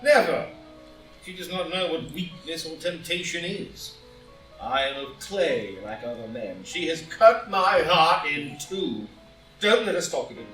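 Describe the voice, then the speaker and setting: Man acting an anguished proud statesman, melodramatic, forceful bright voice, roomy recording, audio drama. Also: on the high side. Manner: melodramatic, declamatory. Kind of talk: stage play read aloud as audio drama. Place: indoor room, live in-person reading. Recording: roomy live reading, distant mic, music.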